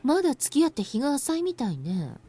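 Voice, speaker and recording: A woman's voice: high-pitched, sing-song, racing, warm tone. An actress voicing the hero's teasing middle-aged mother in an anime-style visual novel. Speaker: game voice actress. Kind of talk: anime game voice acting.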